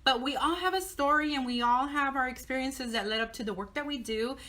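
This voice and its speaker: Upbeat lady hosting a live chat show, really bright forceful voice, kinda high. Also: a little fast. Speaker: host of a live online talk show. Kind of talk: casual live interview, reading out a guest bio.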